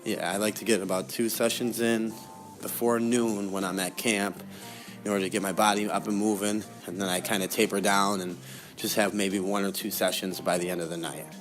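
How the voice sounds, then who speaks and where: A man's voice: fairly low pitch, level tone, fairly quick, faint music behind. A young adult man answering interview questions in a casual, conversational way as a guest. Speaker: interview guest, professional fighter.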